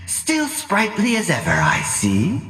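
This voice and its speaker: An actor playing a pompous middle-aged mad scientist in a video game, sinister and gloating, drawling his lines with relish in a raspy voice. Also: high-pitched, huge pitch swings, slow, fairly bright tone, no echo, full character voice. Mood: mocking menace. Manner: pompous, drawling, relishing menace with sly chuckles. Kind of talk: theatrical video game villain acting.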